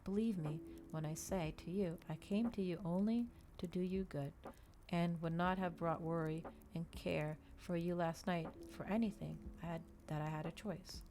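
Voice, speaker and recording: A woman voicing a brooding, courteous male vampire in a soft, slow, melancholy manner for an audiobook. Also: on the low side, steady tone, slight background noise, close to an everyday voice. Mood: earnest, pleading.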